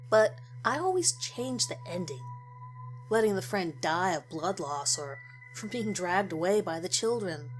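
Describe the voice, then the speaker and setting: A woman's voice: lowish voice, expressive, unhurried, faint music behind, poor audio. A woman reading a scripted first-person story aloud as its narrator.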